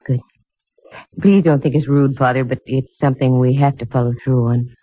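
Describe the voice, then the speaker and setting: An actress playing a poised, inquisitive American private investigator, speaking directly in 1950s radio drama dialogue and narration. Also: female voice, extremely low pitch, fairly even intonation, normal speed, breathy edge, no echo. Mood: briskly inquisitive. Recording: muffled, narrow-band vintage radio recording with music.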